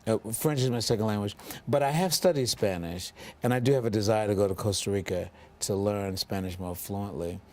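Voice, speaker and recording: Man, local tv host, lower voice, formal and measured, pauses with ums. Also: a little mellow. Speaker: TV interview host. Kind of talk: local TV interview.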